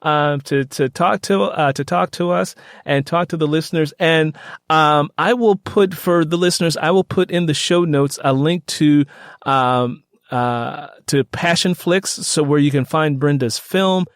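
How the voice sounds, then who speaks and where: A man hosting a podcast interview, speaking conversationally with halting, repetitive phrasing. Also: fairly high pitch, no room sound, clean studio sound. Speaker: podcast host.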